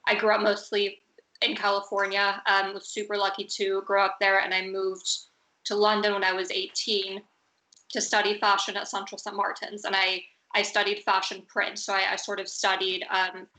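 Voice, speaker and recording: A young woman designer talks in a level, even voice. Her tone is bright and crisp, and her words echo in a roomy space. She pauses often and restarts her thoughts. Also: mid-range voice. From United States. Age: 20s. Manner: thoughtful, hesitant, many fillers and restarts. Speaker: fashion designer. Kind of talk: recorded video discussion.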